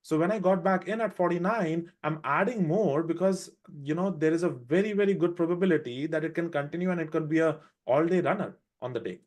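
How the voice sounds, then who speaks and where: A man with an Indian accent, raised in India, walking through his trades on a live trading show with quick, animated explanations. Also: fairly high pitch. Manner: fast, animated explaining with restarts. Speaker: day trader presenting a trade recap.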